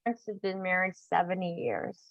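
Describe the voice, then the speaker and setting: An older woman hosting a conversational interview, speaking in a halting way with phrases that trail off. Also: fairly low pitch, fairly even intonation, no room sound, muffled recording. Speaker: host interviewing guests.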